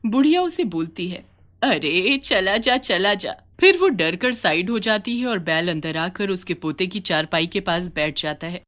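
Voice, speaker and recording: A woman's voice: on the low side, expressive, normal speed, hardly any pauses, fairly bright tone. A woman storyteller reading a scripted moral tale for children.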